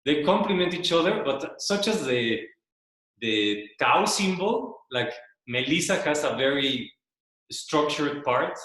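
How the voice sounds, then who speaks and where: A man whose first language is Spanish, giving a casual spoken presentation in a loft, talking slowly and searching for words, often repeating himself. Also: a little high, animated, a bit bright. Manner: slow, searching, repeats words while thinking. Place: loft room with a small audience. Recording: very echoey room.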